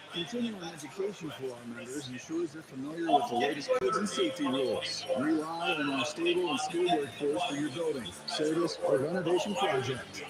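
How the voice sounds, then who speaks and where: A man's voice: fairly high pitch, low-quality recording. A man reading scripted radio advertisements as a commercial announcer.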